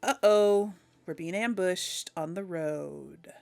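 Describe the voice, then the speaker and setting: A woman's voice: fairly low pitch, slow, gravelly, darkish tone, no echo. A woman giving casual let's play commentary, chatting to her viewers and thinking aloud as she hosts. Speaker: host of a video game role-play series.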